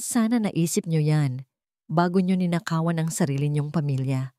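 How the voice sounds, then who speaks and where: A woman's voice: deep voice, normal speed, dark tone, dry recording, lightly acted. Woman acting bitter, defiant wronged wife, dry close sound. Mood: cold, resolute. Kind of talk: dramatized audiobook dialogue.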